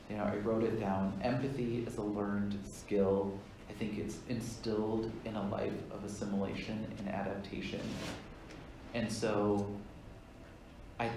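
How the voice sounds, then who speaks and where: A man on a discussion panel, speaking earnestly and haltingly as he searches for words. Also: fairly low pitch, darkish tone, heavy reverb, recorded from a distance, slight background noise, rough recording. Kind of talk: reflective panel talk.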